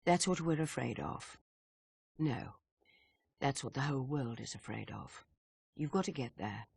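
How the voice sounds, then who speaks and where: M, a husky, stern spymaster. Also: female voice, extremely low pitch, animated, darkish tone, dry recording, clean studio sound, exaggerated voice. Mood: grave. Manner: brisk, formal, matter-of-fact. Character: stern, authoritative female spymaster. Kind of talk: video game cutscene acting.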